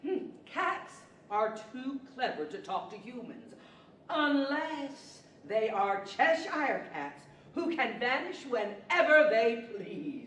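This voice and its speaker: Woman playing a slow, wry, high-voiced talking horse. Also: sing-song, fairly bright tone, full character voice. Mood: wry, warning. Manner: chuckling, knowing, drawn-out delivery. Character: wry, clever talking horse with knowing humour. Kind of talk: 1940s radio theater acting. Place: large library event room, live performance. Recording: echoey hall from far off, faint noise.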